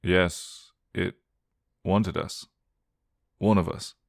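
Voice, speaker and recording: A man's voice: deep voice, steady tone, very slow, gravelly, no room sound, exaggerated voice. A man voicing a stubborn young man with dry, clipped delivery and bitter humour.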